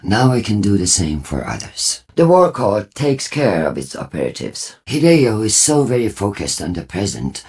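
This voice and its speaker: A man playing a solemn, shrewd war-cult trader and prophet in a video game, speaking in a low, husky, measured and cryptic way. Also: sing-song, a little mellow, heavily acted. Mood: solemn, knowing. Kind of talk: scripted video game dialogue.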